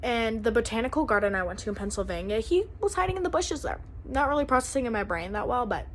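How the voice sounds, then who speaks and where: A woman's voice: a little high, expressive, fast, a little hiss or hum. A young woman vlogging in a casual, rambling way, filling her talk with "like" and "um". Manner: rambling, chatty, full of "like" and "um". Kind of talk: casual vlog chat.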